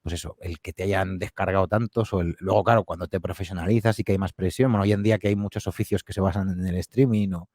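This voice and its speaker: A man talks quickly and loosely about his podcasting work. His voice sits a little low, and he rambles through fillers and restarts. The sound is close and dry, with no room around it. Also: steady tone, a little mellow, no room sound. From Spain. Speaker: horror audio fiction podcaster. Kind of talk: relaxed conversational interview answers.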